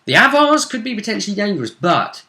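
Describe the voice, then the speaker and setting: A man's voice: sits high, sing-song, quick pace, sharp tone. A man co-hosting a gaming vidcast, talking casually and speculating about a tabletop tournament. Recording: echoey room sound.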